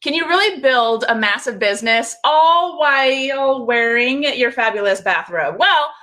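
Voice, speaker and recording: Bubbly high-voiced woman hosting a live call. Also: pushed and bright. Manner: bubbly, upbeat, talks straight to viewers. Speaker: host. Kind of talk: live video call interview. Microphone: video call.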